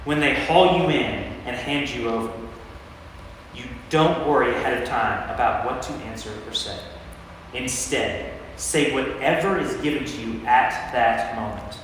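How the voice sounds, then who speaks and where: A man's voice: mid-range voice, a little slow, a bit bright, close to an everyday voice. Commanding teacher in an echoing church, acted by a man. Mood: solemn warning. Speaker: lay performer in a church staged reading. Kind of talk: staged scripture reading. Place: large reverberant church. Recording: echoey church, distant mic, faint noise.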